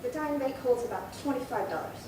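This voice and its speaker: A woman's voice: high-pitched, animated, brisk. A woman acting a prim, chatty, indignant old lady in her late seventies in a 1950s-style radio crime drama, fussy and rambling. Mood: chatty, flustered. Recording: very echoey, distant, noisy room.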